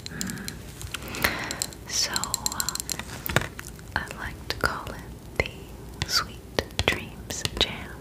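Young woman whispering very slowly. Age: young adult. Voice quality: breathy, unvoiced whisper. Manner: slow, gentle, soothing delivery as a friendly witch. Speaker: ASMR roleplay host. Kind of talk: ASMR whispered roleplay. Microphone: close mic.

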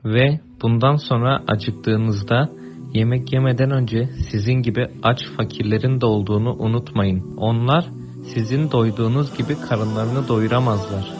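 A man's voice: mid-range voice, steady tone, moderate pace, over music, close to an everyday voice. A man voicing a pious, kindly father in a children's cartoon, speaking in a solemn, instructive manner.

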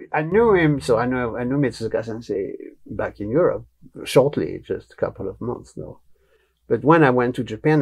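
Gravelly older man with a French accent. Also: on the low side. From France. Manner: blunt, animated, gestures with hands while talking. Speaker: guest, martial arts teacher. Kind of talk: relaxed interview storytelling. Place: small studio room with wooden cabinets, indoors. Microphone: lapel mic.

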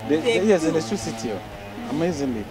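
A man, narrating a casual travel vlog outdoors, relaxed and hesitant with many fillers. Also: on the high side, fast talker, music in the background, rough recording. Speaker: travel vlogger. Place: outdoors in rural countryside. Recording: hissy outdoor recording.

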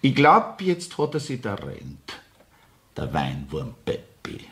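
A folksy, shrewd Viennese police investigator in a radio crime play. His lowish voice swings dramatically up and down. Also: huge pitch swings, slow pace, fairly bright tone, full character voice.

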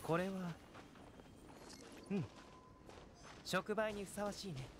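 A man playing a calm, courteous young alchemist in an anime game, speaking in a measured, gentle, analytical way. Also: high-pitched. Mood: calm, explanatory. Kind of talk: scripted anime game dialogue. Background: game music.

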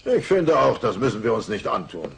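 A man's voice: medium pitch, big pitch swings, brisk, gravelly, slight background noise. A man voicing an oafish, hot-tempered cartoon father, blustering and matey. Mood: chummy.

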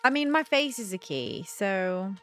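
A woman's voice: medium pitch, big pitch swings, unhurried, fairly bright tone. Female, young adult, gaming host; casual, reactive think-aloud delivery, close mic, faint music behind. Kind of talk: casual playthrough commentary. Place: small room at home, indoors. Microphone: close desk microphone on an arm.

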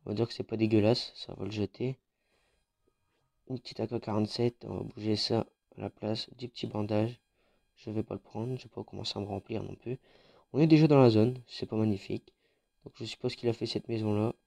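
A man's voice: lowish voice, fairly even intonation, fairly quick, gravelly, warm tone. A man with a French accent and a head cold, chatting casually with fillers as he commentates a mobile battle royale. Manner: chatty, informal, with frequent fillers. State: has a head cold, congested. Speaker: gaming YouTuber.

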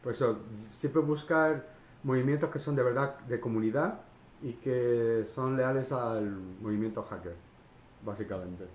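A middle-aged man with a Peninsular Spanish accent talks in a brisk, colloquial, emphatic manner at medium pitch. He is recorded through a headset in a small home room. The room adds a noticeable echo. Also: slight background noise. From Spain. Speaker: podcast co-host. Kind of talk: casual chat. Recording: roomy echo.

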